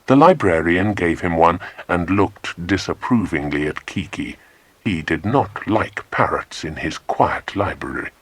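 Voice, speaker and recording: A man's voice: sits low, a little slow, husky, no room sound. A man narrating a children's adventure audiobook expressively, close on a studio microphone. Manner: expressive storytelling. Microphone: close, dry studio microphone.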